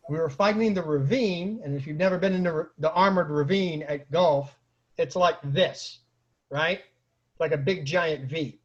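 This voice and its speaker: A man telling casual comic stories in an animated way, acting out quotes and sound effects. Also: a little high, dull audio. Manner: acts out quotes and sound effects, bursts into exclamations. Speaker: storyteller and host of an online story series.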